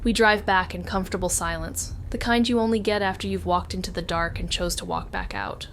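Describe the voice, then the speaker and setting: An actress playing a female amateur sleuth in a noir audio drama, introspective and steady, with deliberate narration and dry, understated dialogue. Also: fairly low pitch, creaky, a little hiss or hum. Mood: brooding, reflective.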